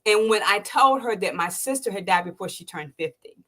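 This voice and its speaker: Woman, sixties, mid pitch, animated storyteller, acts out quotes, goes soft when emotional. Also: brisk. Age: 60s. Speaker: podcast host. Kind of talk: emotional interview testimony.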